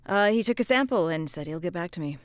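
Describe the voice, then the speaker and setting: An actress plays a weary small-town policewoman with a slightly low voice. She is earnest and troubled, speaking haltingly and naturalistically, as in an audio drama. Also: animated, no echo, close to an everyday voice. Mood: evasive. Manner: halting, hesitant, sighing, swearing.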